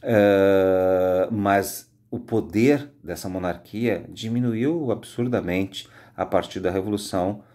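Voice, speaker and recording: A Brazilian man speaking as a high school history teacher, giving an informal, conversational lecture with frequent tag questions. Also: takes it slowly, warm tone. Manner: conversational, explanatory, frequent "né" tags.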